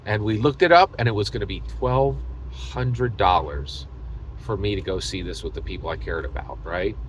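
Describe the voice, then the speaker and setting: An American man hosting a casual, opinionated gaming monologue, speaking emphatically and repetitively, often halting mid-thought and leaning on hyperbole. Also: lowish voice, a little hiss or hum, low-quality recording. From United States. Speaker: host of a tabletop gaming video channel.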